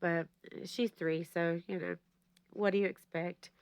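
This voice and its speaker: A woman's voice: fairly low pitch, fairly even intonation, measured pace, clear, resonant tone, no echo, clean studio sound. A woman, chatting and rambling to her viewers while she plans, her voice a little nasal and stuffy from allergies. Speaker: planner vlogger. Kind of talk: casual rambling chat to camera.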